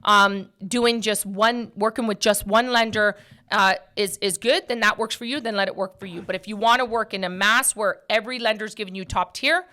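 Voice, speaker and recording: Bright-voiced, blunt woman in her forties. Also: medium pitch, fairly even intonation, normal speed. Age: early 40s. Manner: blunt, emphatic, repeats phrases for stress. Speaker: podcast host and mortgage brokerage owner. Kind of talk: casual direct-to-listener talk.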